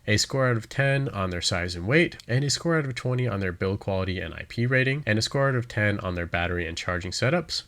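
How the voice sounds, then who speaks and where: Male review narrator, lowish pitch, brisk pace, methodical and explanatory scripted delivery. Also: a bit bright. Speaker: product reviewer and channel host.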